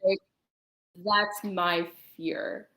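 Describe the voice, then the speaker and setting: A woman's voice: medium pitch, steady tone, drawn-out words, bright, forceful tone. A woman guest chatting casually in an interview, thinking aloud a little hesitantly, with plenty of "like" along the way. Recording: echoey, roomy sound.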